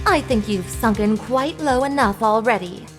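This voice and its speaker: Woman acting high-pitched cutesy, sly witness. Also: normal speed, lightly acted. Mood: sassy. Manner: coy, sassy, girlish. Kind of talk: video game voice dub. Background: music.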